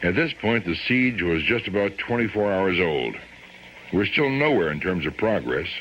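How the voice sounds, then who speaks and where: A man's voice: sits low, lively intonation, moderate pace. An actor playing a blunt, pressing male police lieutenant in a radio drama. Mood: grim, reporting. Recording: dull lo-fi radio broadcast, background noise.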